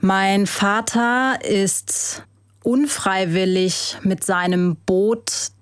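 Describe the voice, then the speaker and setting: A woman from Germany, a guest and author, giving thoughtful, measured interview answers with the odd hesitation. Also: mid-range voice, steady tone, smooth, dry recording, clean studio sound.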